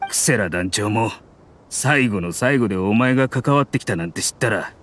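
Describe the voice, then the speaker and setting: A man voicing a loyal, gruff mercenary squad leader in a game, speaking in a commanding tone. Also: on the low side, expressive, normal speed, fairly bright tone, slight background noise. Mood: steady. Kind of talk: Japanese game voice acting.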